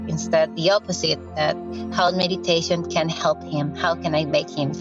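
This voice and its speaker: A woman from Costa Rica asks her question carefully and sincerely, as a listener calling in. Her voice sits a little low. The recording is dull and lo-fi, with clear music playing underneath. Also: steady tone, normal speed. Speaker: caller.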